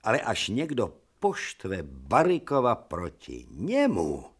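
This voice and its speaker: An actor playing a stern older professor and surgeon in a radio play, lecturing and commanding. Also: male voice, lowish voice, wildly swooping pitch, husky, full character voice. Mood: grave.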